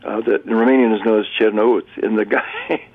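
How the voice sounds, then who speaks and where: A man, a bishop and radio guest, speaking in a reflective, halting way with frequent restarts. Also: lowish voice, steady tone. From United States. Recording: tinny phone-line sound.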